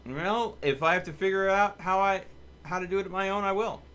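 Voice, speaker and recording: A man's voice: high-pitched, a little fast, fairly bright tone, clean tone. A man hosting a casual game livestream, chatting and thinking aloud in a rambling way. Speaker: livestream host. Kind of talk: casual chat while live streaming a video game.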